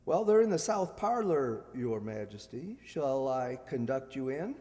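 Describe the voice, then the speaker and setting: A man's voice: medium pitch, very animated, measured pace, dark tone. A man playing a suave, sardonic ex-husband in a radio comedy, dry and mocking. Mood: mocking, smug. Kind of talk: radio play acting of a 1940s screwball comedy. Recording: reverberant hall stage recording.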